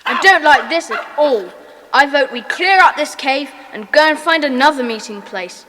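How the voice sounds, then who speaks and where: Young boy gang leader, commanding, high bright voice, echoing hall sound, children's audio drama. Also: expressive, normal speed. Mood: worried, decisive. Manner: decisive, commanding, schoolchild diction. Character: bossy, eager boy leader of a children's secret club. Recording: reverberant, hall-like echo.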